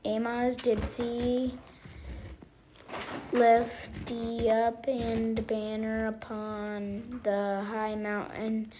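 Young reader, very slow and even-toned, stumbling through scripture, noisy home recording. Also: mid-range voice. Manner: stumbles over hard words. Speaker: family member taking a turn reading. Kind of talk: halting scripture reading aloud.

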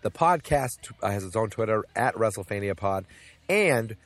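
A man's voice: lowish voice, expressive, moderate pace, no room sound. Rambling, joking male podcast host. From New England. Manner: rambling, joking, lots of ums and asides. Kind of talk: casual solo wrestling podcast. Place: Boston.

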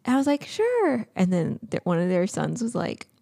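Podcast co-host, young woman, low gravelly voice, mellow tone, chatty and earnest. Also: normal speed, no room sound, clean studio sound. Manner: chatty, earnest, animated storytelling. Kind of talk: casual podcast chat.

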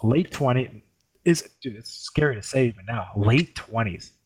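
A man's voice: mid-range voice, lively intonation, normal speed, a little mellow, slight background noise. A man in his twenties chatting casually with friends, insistent and swearing freely. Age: 20s. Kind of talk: casual banter among friends.

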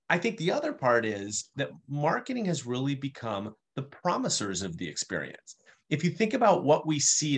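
Guy talking customer experience in an interview, relaxed explainer, mid pitch, smooth and easy. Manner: fluent, explanatory, with playful asides. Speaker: guest, customer experience speaker. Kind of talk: conversational interview answers.

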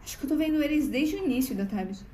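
A woman's voice: sits high, lively intonation, racing, warm tone. A woman with a Brazilian accent playing a homeless, bitterly resigned doomsayer in an audio drama, speaking with urgent emphasis. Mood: wry, insistent. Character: homeless woman, wary doomsayer witnessing an alien invasion. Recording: echoey room with faint background noise.

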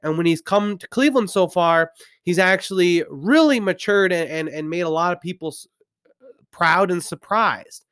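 A man's voice: sits high, moderate pace, fairly bright tone, clear, resonant tone, no room sound, clean studio sound. A man with a stuffy nose from a cold, giving an opinionated, rambling football recap with many restarts. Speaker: sports commentator. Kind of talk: ranting sports recap.

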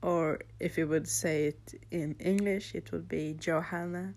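Slow-talking Norwegian-accented young woman. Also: low-pitched, steady tone, gravelly. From Norway. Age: teens or young adult. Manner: slow, halting, with fillers and soft laughs. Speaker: podcast host. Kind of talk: casual rambling monologue.

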